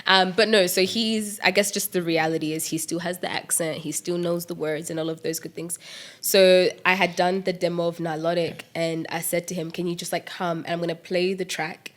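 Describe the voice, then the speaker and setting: Animated young woman, laughs a lot. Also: fairly low pitch, normal speed. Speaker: musician and producer guest. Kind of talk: casual interview chat.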